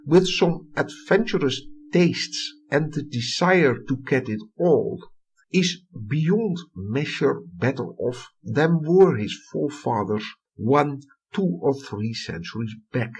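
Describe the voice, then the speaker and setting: Husky Dutch-accented male lecturer. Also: mid-range voice, expressive, low-quality recording. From Netherlands. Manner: reads a prepared text with deliberate emphasis. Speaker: history lecturer. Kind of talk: recorded academic lecture.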